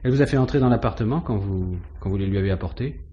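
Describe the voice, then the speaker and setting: An actor playing a methodical, insistent police inspector questioning a suspect in a 1950s French radio mystery style. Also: male voice, lowish voice, rapid-fire, warm tone, highs cut off, a little hiss or hum, lightly acted. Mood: probing. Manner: insistent, probing, authoritative questioning.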